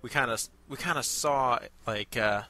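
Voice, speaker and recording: A man's voice: mid-range voice, normal speed, bright, forceful tone. A man co-hosting a casual review show, talking informally and with enthusiasm. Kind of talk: casual chat, reviewing amateur voice acting.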